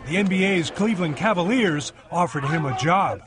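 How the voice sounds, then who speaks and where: A man's voice: high-pitched, expressive, normal speed, over a noisy crowd. A man narrating a scripted television news report as a reporter.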